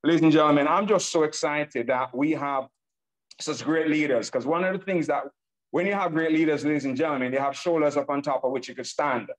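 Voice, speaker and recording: Fired-up Nigerian-born man pitching online. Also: fairly quick, low-quality recording. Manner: enthusiastic and hype-driven, repeats himself, addresses audience as ladies and gentlemen. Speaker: presenter of an online business webinar. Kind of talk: energetic sales presentation. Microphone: laptop video call.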